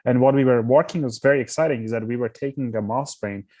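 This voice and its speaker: A man's voice: medium pitch, fast, a little mellow, dry recording. A young man from Armenia, a startup founder answering interview questions thoughtfully, often restarting his words.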